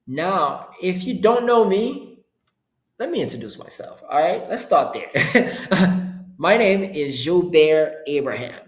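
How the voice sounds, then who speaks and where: Man pitching in a roomy space. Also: lively intonation, slow pace. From Haiti. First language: Haitian Creole. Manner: upbeat, informal, short punchy phrases with rhetorical repetition. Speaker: entrepreneur hosting an online presentation. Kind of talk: motivational webinar pitch to a live chat. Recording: roomy, echoing sound.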